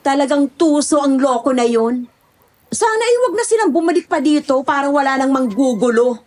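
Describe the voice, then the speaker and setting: A woman's voice: high voice, animated, moderate pace, darkish tone. A woman playing a feisty, chatty country goat herder in an audio horror drama, speaking expressively. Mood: annoyed.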